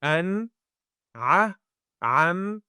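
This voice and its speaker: A man teaching Quran recitation in a webinar, talking conversationally, often checking in with "right?" and sounding out recitation slowly. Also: sits high, expressive, sharp tone, clear, resonant tone, no room sound, clean studio sound. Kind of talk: live webinar lesson.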